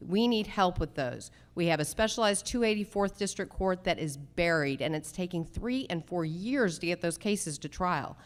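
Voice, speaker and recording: A woman with a slightly low voice gives campaign remarks at a candidate forum. She is declarative and emphatic, landing her points in short, punchy sentences. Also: lively intonation, moderate pace, few pauses. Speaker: judicial candidate at a public forum.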